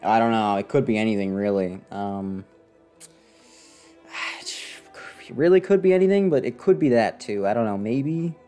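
A man's voice: fairly low pitch, expressive, unhurried, mellow. A young man doing sarcastic, unimpressed, casual commentary as he streams a game into a close microphone. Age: young adult. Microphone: close desk microphone. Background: game music.

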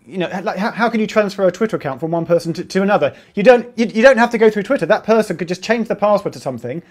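A man in his thirties, an animated and jokey co-host in casual podcast chat. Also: sits high, very fast. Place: small home room.

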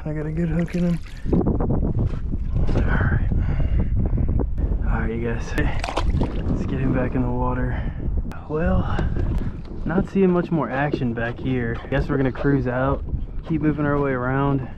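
Excited man fishing from a kayak. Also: mid-range voice, a little slow, long pauses, dull audio, very noisy. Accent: American. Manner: excited, loose, swears casually, talks to viewers as guys. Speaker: fishing vlogger. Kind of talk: casual running commentary while fishing. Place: kayak on open shallow water outdoors. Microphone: action camera mounted on kayak.

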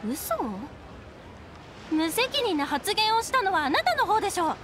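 A woman's voice: extremely high pitch, very animated, normal speed, very noisy. A woman playing an earnest, timid young reporter in a video game, polite and hesitant yet indignant. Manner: hesitant, polite, then firmly protesting. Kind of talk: Japanese video game voice acting. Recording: loud music behind the voice.

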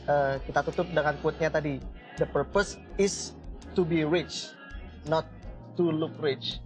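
A man's voice: fairly high pitch, steady tone, unhurried, soft background music. A young man, hosting a casual interview in a cafe and joking often. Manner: joking, laughs often, mixes in Javanese. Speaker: interviewer host. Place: small bright cafe indoors.

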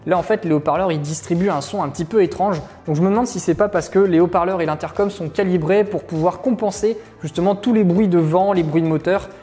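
A man's voice: sits high, quick pace, few pauses, mellow. A man, giving a casual, explanatory product review in a conversational way. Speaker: motorcycle gear reviewer. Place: small indoor room at a desk.

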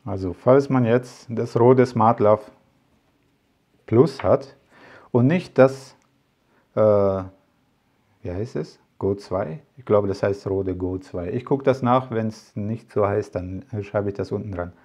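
A man's voice: lowish voice, slow, a little mellow, husky. A man talking casually to camera as a tech vlogger, rambling with many fillers and asides. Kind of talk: casual product talk to camera.

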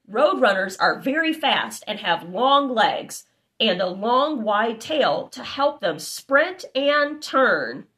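Woman narrator for a kids' storybook, high and bright, unhurried, lots of exclamations and asides. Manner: animated, exclamatory, child-directed with asides. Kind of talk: reading a children's picture book aloud. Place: living room, indoors.

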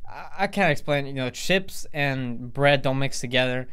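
A man's voice: medium pitch, moderate pace, a bit bright, clear, resonant tone. A teenage boy telling a storytime vlog to camera in a casual, rambling way, full of fillers and restarts. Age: teens. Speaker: vlogger. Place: indoors in front of a plain grey backdrop.

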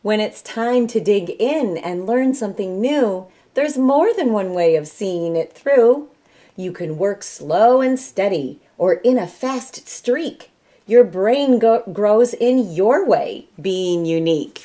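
Casting: middle-aged woman, American Midwestern accent, storybook reader with unhurried pace and animated intonation. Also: mid-range voice. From Michigan, United States. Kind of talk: children's read-aloud storytelling. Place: small room at home, indoors.

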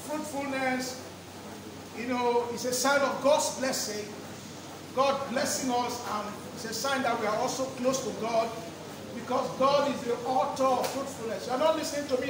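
A middle-aged man preaching in a very high voice, stretching out key words and repeating phrases for emphasis. His voice reaches the mic from a distance and rings around a roomy church hall. A steady background noise sits under it. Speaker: pastor. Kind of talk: sermon. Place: church hall with draped walls. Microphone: lectern microphone.

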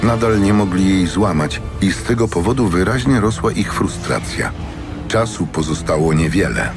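A man narrating a dramatic fantasy audiobook from a script in a measured, solemn manner. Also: low-pitched, over music.

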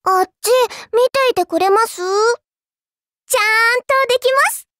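Very high-pitched, sweetly condescending little girl. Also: moderate pace, pushed and bright, no room sound, studio quality. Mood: polite, playful. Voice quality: childlike, cutesy. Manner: polite, prim speech with teasing condescension. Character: dainty little girl, politely sweet with condescending, cruel streak. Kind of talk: Japanese mobile game character voice lines.

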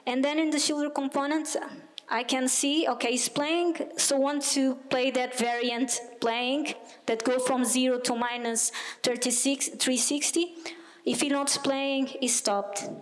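A young woman giving a technical talk with a live demo, explaining step by step in a halting way. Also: high-pitched. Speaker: conference speaker, product designer. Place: small indoor meetup venue, on stage before seated audience. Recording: long hall reverb.

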